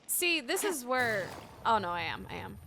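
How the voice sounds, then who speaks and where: A young woman streamer giving casual, giggly, excitable commentary while playing a game. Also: fairly high pitch, normal speed, a little hiss or hum. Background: game music.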